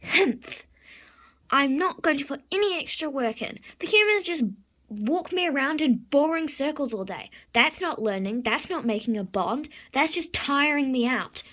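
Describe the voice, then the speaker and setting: Spoiled, self-important mare in a horse story, acted by a woman: high, bright, whiny, full of grievance. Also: expressive, moderate pace. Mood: grumbling, offended. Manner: haughty, whiny, complaining. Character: spoiled, indignant mare who thinks she deserved first place.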